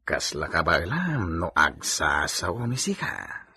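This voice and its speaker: An actor playing a curt, lordly Spanish gentleman in an Ilocano comedy radio drama, clipped and commanding. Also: deep voice, big pitch swings, slow, breathy edge, full character voice. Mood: dismissive.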